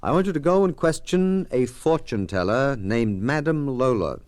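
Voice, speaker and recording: An actor playing a shrewd police detective in old radio mystery style, measured and authoritative. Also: male voice, medium pitch, lively intonation, darkish tone, no room sound, clean studio sound, close to an everyday voice. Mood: grave, instructing. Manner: measured, deliberate, authoritative questioning. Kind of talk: 1940s radio mystery acting.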